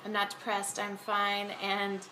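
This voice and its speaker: An American woman talking casually in a personal video blog, telling her story in a halting, rambling way. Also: mid-range voice, completely flat delivery, bright, forceful tone. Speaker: video blogger, amateur singer. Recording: echoey room, faint background noise.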